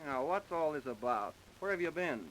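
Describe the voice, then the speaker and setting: A man's voice: fairly high pitch, lively intonation, moderate pace, fairly bright tone, a little hiss or hum, rough recording. An American man acting a dogged, sardonic police captain questioning a suspect in a 1930s radio drama. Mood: probing. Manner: sardonic, pressing, plain-spoken. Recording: vintage 1930s radio recording.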